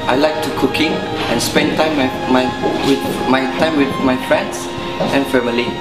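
A man's voice: medium pitch, steady tone, moderate pace. Polite young man from Sabah. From Kota Kinabalu, Sabah. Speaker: pageant contestant. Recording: roomy sound with loud music.

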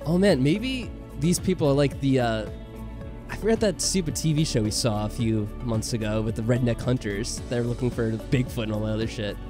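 A man's voice: medium pitch, brisk, music in the background. A man doing playful commentary on a visual novel game as he plays through it. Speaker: gaming channel host. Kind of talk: playful let's play commentary with read-aloud dialogue.

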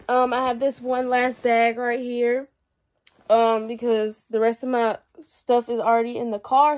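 Young girl vlogging from her bed, kinda stuffy, talks fast and rambly. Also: fairly high pitch. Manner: chatty, rambling, quick asides. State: stuffy, runny nose. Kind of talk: casual vlog chat. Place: bedroom at home. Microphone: phone held low at arm's length.

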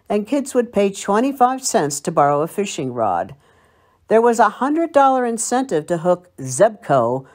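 Older American woman, lively low-pitched narration. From Long Island, United States. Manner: storytelling, reminiscing. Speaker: library local history presenter. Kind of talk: scripted narration.

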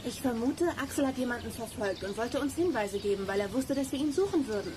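A woman's voice: fairly high pitch, expressive, quick pace, lightly acted. An actress playing a sensible, take-charge girl detective in a children's radio play. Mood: brisk, reasoning. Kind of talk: German audio drama acting. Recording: noisy outdoor ambience.

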